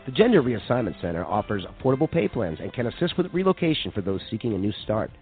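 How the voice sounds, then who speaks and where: Casting: man as salesy, earnest commercial announcer, lowered voice, parody radio spot, phone-like sound. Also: big pitch swings, fast talker. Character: earnest male announcer for a clinic advertisement. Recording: tinny narrowband audio with music.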